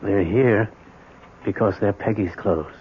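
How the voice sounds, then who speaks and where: An actor playing a bitter, nostalgic husband with a deliberate, insistent delivery and dramatic pauses in an old-time radio drama. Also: male voice, on the low side, husky. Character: bitter, nostalgic husband confronting his wife. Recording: muffled, noisy old radio broadcast.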